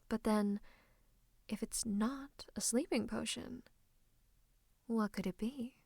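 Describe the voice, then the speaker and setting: A woman's voice: a little high, darkish tone, no room sound, clean studio sound. A woman playing a scheming royal advisor and sorcerer in an ASMR roleplay, smug and mock-courteous, slipping into menacing whispers. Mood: feigned innocence. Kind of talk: ASMR roleplay, theatrical monologue to the listener.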